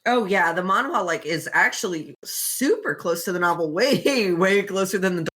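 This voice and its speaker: A woman's voice: lowish voice, lively intonation, normal speed, a bit bright. A woman hosts a casual podcast chat. She talks informally and swears freely, with a giggly manner as she retells and comments on a story. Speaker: podcast host.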